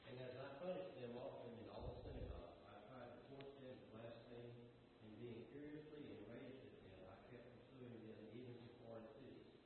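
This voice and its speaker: A man in a class reads scripture aloud at a steady pace, stumbling now and then. He is heard from far off in a very echoey hall. The sound is thin and phone-like, with clear background noise. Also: mid-range voice, fairly quick. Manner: steady reading with small slips and restarts. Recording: distant roomy echo, tinny, noisy.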